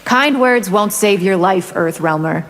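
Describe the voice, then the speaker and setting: A woman's voice: medium pitch, expressive, a little slow. A woman playing a haughty, hungry vampire from another realm, cold and seductive in a video game. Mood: cold menace. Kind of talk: video game fight intro banter. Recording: game audio with background noise.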